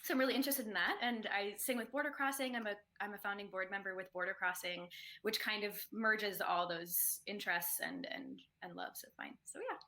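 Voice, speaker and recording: A woman's voice: mid-range voice, animated, moderate pace, bright, forceful tone. A young adult woman, a soprano and graduate student, talking about her singing and research in an earnest, thoughtful way with light hesitations. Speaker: soprano, choral singer. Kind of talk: conversational interview.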